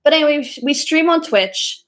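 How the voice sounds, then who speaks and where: A woman's voice: high voice, lively intonation, fairly quick, a crowd in the background. A young woman co-hosting a casual podcast, chatting, laughing often and using many fillers. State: pregnant. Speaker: podcast co-host. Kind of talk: casual chat.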